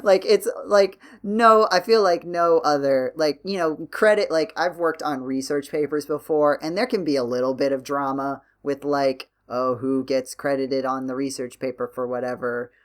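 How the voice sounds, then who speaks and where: A man hosting a casual podcast discussion, talking in a halting way and circling back as he thinks aloud. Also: medium pitch. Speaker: podcast host.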